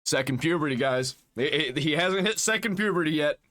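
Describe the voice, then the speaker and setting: Casting note: male reaction host, mocking and profane, a bit high-pitched, dips into a put-on low voice. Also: animated, brisk, a bit bright. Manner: mocking, swings between a squeaky high register and a put-on deep voice; swears freely, reads search results aloud. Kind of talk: casual reaction commentary.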